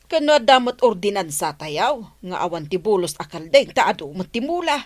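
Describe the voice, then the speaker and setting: An actress playing a scolding, gossipy village wife in an Ilocano radio comedy drama. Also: female voice, medium pitch, big pitch swings, normal speed. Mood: disapproving.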